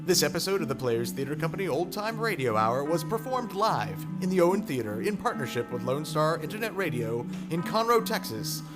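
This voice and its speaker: Male announcer, lively rising and falling pitch, theatrical, formal, old-time radio credits, loud music behind. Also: a little fast, few pauses. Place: theater stage, live recording. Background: loud live music.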